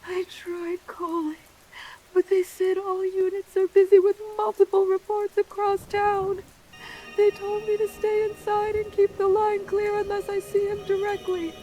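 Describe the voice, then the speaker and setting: A woman's voice: sits high, normal speed, a bit bright, faint music behind, poor audio. A woman playing a frightened, urgent radio phone-in caller hiding from an intruder in her home. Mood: panicked. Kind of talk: radio horror drama.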